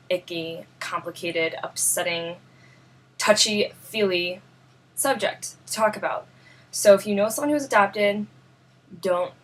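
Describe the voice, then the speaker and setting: A young woman with a New York accent, born in Russia, talking earnestly and haltingly to camera in a personal vlog, often searching for words. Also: medium pitch, pushed and bright, rough recording. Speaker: vlogger.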